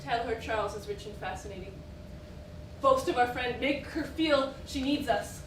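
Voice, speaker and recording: A woman's voice: a little high, normal speed, lightly acted. A woman playing the bitter, jealous, impulsive inner self of a society wife, blunt and unrestrained in a theatrical style. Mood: excited, urgent. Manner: blunt, vehement, emotionally unrestrained. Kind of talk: theatrical stage acting. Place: indoor theatre stage, picked up from afar. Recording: roomy, distant stage recording with noise.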